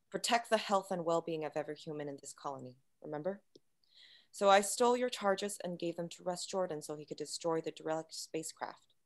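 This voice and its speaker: Secretive android science officer, read by a woman: lowish voice, measured, coolly explanatory, sci-fi screenplay. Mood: cool, assured.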